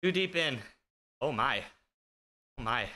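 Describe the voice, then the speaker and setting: A man's voice: mid-range voice, expressive, takes it slowly, sharp tone. A man in his thirties chatting casually with his stream viewers while riding an indoor bike, joking and teasing himself. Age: 30s. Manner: joking, self-deprecating, chatty. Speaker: fitness streamer. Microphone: streaming desk mic with noise gate.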